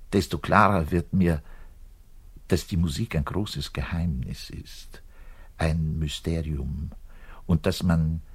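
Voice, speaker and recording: An actor playing a pompous, aggrieved middle-aged orchestra double bassist, delivering a theatrical, emphatic radio monologue in a husky voice. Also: male voice, darkish tone, exaggerated voice. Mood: solemn, reverent. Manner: pompous, emphatic, didactic, swinging between declaiming and muttering.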